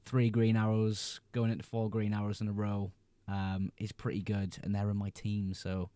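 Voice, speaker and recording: A young Englishman with a lowish, mellow voice chats through viewer questions on a livestream. He reads each message aloud, then answers in a relaxed, easygoing way. The sound is dry and close, with no room around it. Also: normal speed, clear, resonant tone, no room sound. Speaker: livestream host. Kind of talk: casual livestream chat answering viewer comments.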